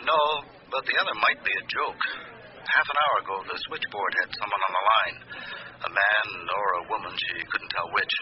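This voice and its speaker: An actor playing an earnest, deferential junior police inspector reporting in a radio drama, slightly hesitant. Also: male voice, fairly low pitch, lively intonation, a little fast, muffled recording, lightly acted. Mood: earnest, puzzled. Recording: noisy band-limited radio broadcast recording.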